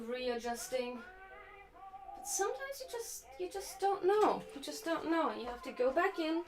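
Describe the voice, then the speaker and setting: A young woman, a native speaker of Slovak who grew up in Germany, chatting casually and reflectively while crafting on a live stream, with a slightly sore throat. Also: high-pitched. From Slovakia, raised in Germany. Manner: relaxed, reflective, chatty with frequent fillers. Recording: distant mic with faint music.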